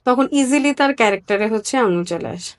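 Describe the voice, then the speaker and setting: A woman with a Bangladeshi accent speaks as an interview guest. Her voice is on the high side, and she talks candidly and reflectively, often repeating a phrase to stress it. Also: animated, brisk. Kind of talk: personal, conversational interview answers.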